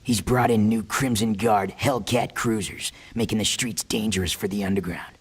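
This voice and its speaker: An actor playing a weary, stern resistance leader in a video game, husky and terse. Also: male voice, fairly low pitch, moderate pace. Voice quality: husky, raspy. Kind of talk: video game cutscene acting.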